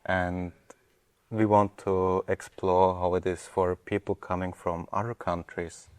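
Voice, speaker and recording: A young man from Austria hosts the interview, putting prepared questions in a polite, somewhat formal way. His voice is low and level with little rise and fall, and it is recorded close and dry. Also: level tone, unhurried, a little mellow, clear, resonant tone, no room sound. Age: young adult. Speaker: student host. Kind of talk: interview hosting.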